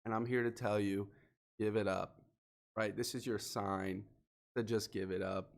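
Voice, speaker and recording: Male podcast host, somewhat low pitch, sniffly from allergies, earnest and direct motivational delivery. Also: flat delivery, unhurried, gravelly. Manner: earnest, direct, speaks to the listener. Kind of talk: solo motivational podcast monologue.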